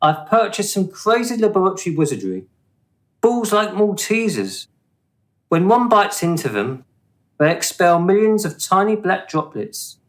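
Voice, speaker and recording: An actor playing a polite, hesitant gentleman courting a colleague in a radio play. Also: sits high, exaggerated voice.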